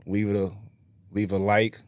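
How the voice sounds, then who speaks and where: A man in his thirties co-hosting a podcast in relaxed, slangy casual chat, trailing off with fillers. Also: fairly low pitch, normal speed, clear, resonant tone, no echo. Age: 30s. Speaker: podcast co-host.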